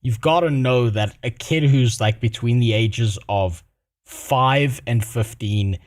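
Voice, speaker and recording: A man's voice: mid-range voice, slow, no echo, clean studio sound. A man co-hosting a stream, reacting bluntly and crudely, rambling with lots of fillers. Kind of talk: casual reaction chat.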